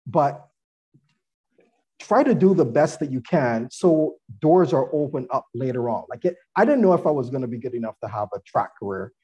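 A man's voice: mid-range voice, lively intonation, moderate pace, warm tone, breathy edge, dull audio. A man being interviewed on a podcast, telling earnest stories about his upbringing and laughing now and then. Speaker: guest, former athlete and attorney.

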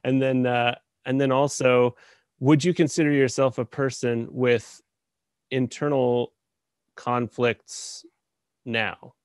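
Male host, mid pitch, stumbles and restarts while asking questions, dry close recording. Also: little pitch movement, slow, dry recording. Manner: hesitant, stumbling, friendly. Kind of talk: casual interview questions.

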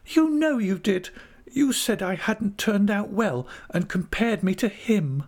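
A man's voice: sits high, lively intonation, unhurried, darkish tone, voice pushed up. A man voicing a childish, tearful young wife in an audiobook, exclaiming in wounded, dramatic reproach. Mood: indignant. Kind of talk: audiobook character voice.

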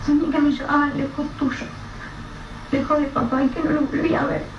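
Actress as a young daughter, frightened, thin high voice, dull far-off radio-play sound. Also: female voice, level tone, normal speed, natural voice. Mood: frightened pleading. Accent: Rioplatense Spanish. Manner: pleading, fearful. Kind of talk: radio drama acting. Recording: echoey, distant, dull, faint hiss.

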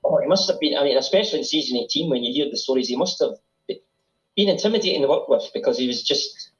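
A man's voice: medium pitch, lively intonation, fast talker. A man speaking as a guest in a casual chat, commenting while they watch an episode, with easygoing hesitations. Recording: roomy, dull-toned recording.

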